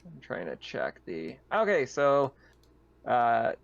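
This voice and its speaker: Man, game master, casual descriptive narration, medium pitch, muffled online voice chat. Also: wildly swooping pitch, slow pace, vocal fry, no room sound. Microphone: online voice call.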